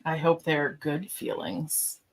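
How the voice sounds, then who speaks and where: A woman playing a calm, gently flirtatious male first officer in an amateur sci-fi radio play. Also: sits low, takes it slowly, rough recording. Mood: gentle, curious.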